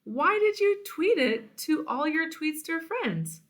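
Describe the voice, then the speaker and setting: A woman's voice: high voice, sing-song, slow pace, a little hiss or hum, pitched above the performer's own voice, full character voice. A woman voicing a blunt, easily bored and indignant female vulture in a storybook.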